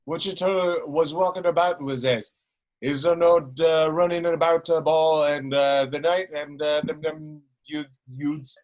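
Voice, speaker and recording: A man's voice: a little high, steady tone, darkish tone, natural voice. A man doing a mumbling old country farmer. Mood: muddled. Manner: slurred, halting rural mumble. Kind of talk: table read over video call. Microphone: video call.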